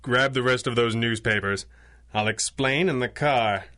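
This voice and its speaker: An actor playing a resolute, articulate playboy crime fighter in a 1930s-style radio drama, measured and wry. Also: male voice, medium pitch, sharp tone, soft background music, lightly acted. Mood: wry, affectionate. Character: suave, resolute masked hero, wry billionaire playboy.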